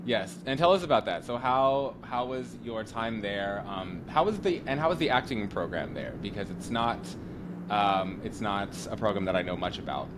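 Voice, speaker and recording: Chatty male podcast host, lower voice. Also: lively intonation, background noise. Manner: friendly, halting with many restarts. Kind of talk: casual interview chat.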